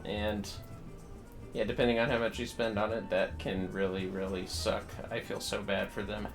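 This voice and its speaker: A man hosting a livestream, chatting casually and thinking aloud in a rambling, halting way as he plays a game. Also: fairly low pitch, flat delivery. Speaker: livestream host. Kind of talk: casual chat while playing a game. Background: music.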